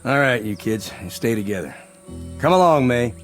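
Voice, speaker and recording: A man's voice: medium pitch, expressive, moderate pace, over music, lightly acted. An actor playing a callous, folksy American father in a television western drama. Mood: defiant. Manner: brash, folksy.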